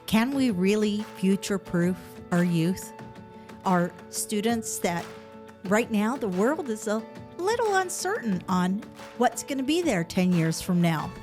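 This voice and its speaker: A woman's voice: mid-range voice, sing-song, measured pace, a little mellow, faint music behind. A woman hosting a podcast interview, chatting in an effusive, enthusiastic way. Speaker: podcast host. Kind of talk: casual interview chat.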